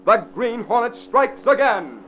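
A man's voice: very high-pitched, big pitch swings, unhurried, pushed and bright. A man announcing and narrating in a dramatic, emphatic 1940s radio style. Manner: declamatory, emphatic. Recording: dull, faintly noisy old radio broadcast.

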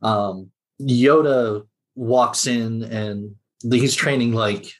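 A man speaks as one of the panelists on a podcast. His pitch is average for a man, and he talks in a casual, conversational way about a series. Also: extremely slow.

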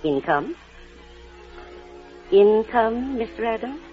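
A woman's voice: a little high, expressive, takes it slowly. A woman playing a kindly, prim female orphanage inspector in a 1940s radio drama, formal and precise. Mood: businesslike. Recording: dull old radio, music behind.

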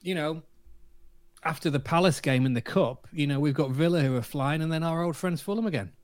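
A man speaking as a podcast panellist in casual sports discussion, explaining his points in a measured way. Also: on the high side, a little mellow, dry recording.

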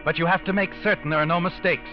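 An American man acting as the ominous, taunting narrator of a 1940s radio crime thriller, telling the story deliberately to the culprit. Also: sits high, fairly even intonation, lightly acted. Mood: tense, ominous. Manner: taunting, deliberate, suspenseful second-person storytelling. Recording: dull old radio recording, loud music behind. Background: dramatic music.

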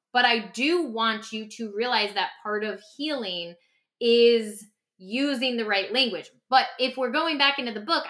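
A woman speaking emphatically and conversationally as a podcast guest, explaining self-help ideas in an animated way. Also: on the high side. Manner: emphatic, acts out example dialogue. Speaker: podcast guest and author discussing their own book.

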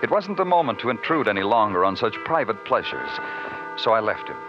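Low-voiced, wry radio detective. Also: male voice, very animated. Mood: wry narration. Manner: clipped, wry, deliberate phrasing. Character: dry, weary male police detective narrating his case. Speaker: lead detective and narrator. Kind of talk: 1950s radio crime drama acting and narration. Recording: muffled old radio recording with music.

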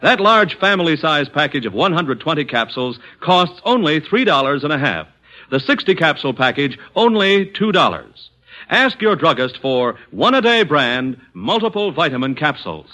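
Animated, bright American male radio announcer. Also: on the high side, moderate pace. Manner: emphatic, persuasive salesman delivery. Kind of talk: 1940s radio advertising, scripted announcing.

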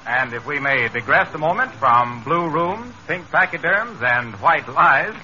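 A man's voice: a little high, expressive, a little slow, bright, forceful tone. An American man announcing commercials on 1930s radio with a polished, emphatic sales delivery. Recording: muffled, noisy vintage radio broadcast.

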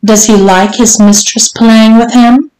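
A woman voicing a domineering, teasing, strict mistress in an audiobook, speaking in an imperious, deliberate way. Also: mid-range voice, expressive, lightly acted. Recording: roomy, echoing room sound.

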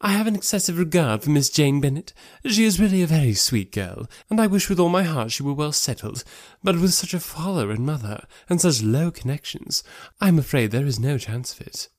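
A man voicing a snobbish, falsely sweet married society woman in an audiobook, speaking with affected, measured condescension. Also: on the high side, expressive, darkish tone, dry recording, clean studio sound, pitched above the performer's own voice. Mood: condescending. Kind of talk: classic novel audiobook character voice.

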